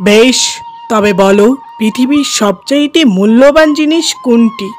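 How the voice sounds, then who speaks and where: A solemn, commanding old holy man from a cartoon folk tale, played by a woman. The voice is on the high side and speaks with stern authority over soft music. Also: a little slow, lightly acted. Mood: solemn, approving.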